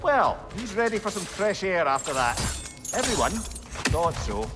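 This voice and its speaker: Wry, swooping, high-voiced adviser. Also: male voice, sing-song, moderate pace. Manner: wry, courtly, theatrical. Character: chatty, diplomatic, witty older male adviser companion. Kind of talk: video game dramatic acting. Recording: loud game music behind.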